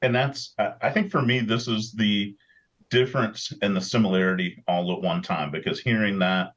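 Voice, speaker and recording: Man from maryland, husky deep voice, halting earnest talk, muffled lo-fi audio. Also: a bit bright. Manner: earnest, halting, repeats words. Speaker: podcast co-host, EMS instructor. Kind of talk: casual chat. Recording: dull lo-fi audio.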